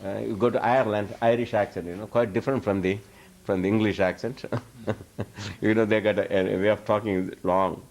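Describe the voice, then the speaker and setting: Low-voiced man giving a rambling lecture. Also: fairly even intonation, a little fast, darkish tone. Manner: chuckles often, rambling with frequent restarts. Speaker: spiritual teacher answering audience questions. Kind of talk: informal lecture Q&A. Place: live talk before an audience.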